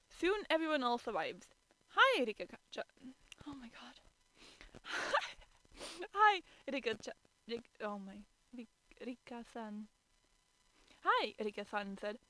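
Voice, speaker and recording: A woman with a bright, fairly high voice reads a story aloud as an amateur streamer. She trips over words and plays up her exasperation. The sound is dry and close. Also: dry recording, rough recording. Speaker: streamer reading fan fiction aloud. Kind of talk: amateur dramatic reading with exasperated asides.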